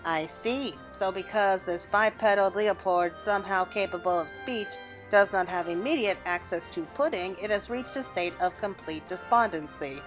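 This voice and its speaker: A woman's voice: medium pitch, normal speed, few pauses, natural voice, rough recording. A woman reads out a small, haughty automaton from a video game. It is pompous, verbose and formal, with music underneath. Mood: disdainful. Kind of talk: video game dialogue read aloud.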